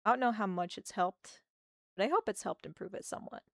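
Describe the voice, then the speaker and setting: A woman with a slightly hoarse, gravelly voice chats casually with her stream as she draws. She often trails off into quiet muttering while she works. The sound is close and dry. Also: mid-range voice, expressive, a little fast, no room sound. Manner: chatty, thinking aloud, muttering to herself as she works. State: slightly hoarse from a sore throat. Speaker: art streamer. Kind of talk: casual livestream chat while drawing.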